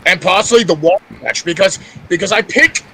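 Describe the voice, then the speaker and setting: A man in a casual, opinionated podcast chat, blunt and halting, often repeating his words. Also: high-pitched, bright, forceful tone, slight background noise, low-quality recording. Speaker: podcast panelist.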